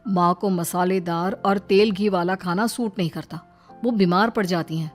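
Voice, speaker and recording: A woman voicing a calm family man who reassures and explains in a cartoon moral story. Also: fairly low pitch, normal speed, pitched below the performer's own voice, close to an everyday voice. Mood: calm, reassuring. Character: calm, placating family men, husband and father-in-law. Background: faint music.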